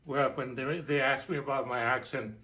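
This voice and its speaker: An older man from Chile with a Chilean Spanish accent, chatting at home in a halting way, full of fillers and restarts. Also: medium pitch, steady tone, bright, forceful tone. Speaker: interviewee. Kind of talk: casual conversation. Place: living room at home, indoors.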